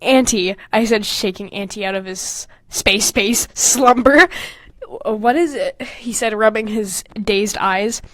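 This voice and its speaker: A woman's voice: mid-range voice, slow, a bit bright, no echo. A woman podcast host reading her own fanfiction aloud, breaking off into candid, self-mocking, casual asides with plenty of swearing.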